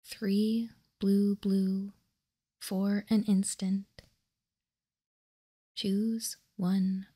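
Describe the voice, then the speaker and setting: A woman whispering in a breathy voice as she slowly and deliberately presents trading cards, ASMR style. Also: medium pitch, flat delivery, dark tone. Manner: slow, deliberate, reading card text piece by piece. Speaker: host of a trading card ASMR channel. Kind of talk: ASMR whispered card showcase.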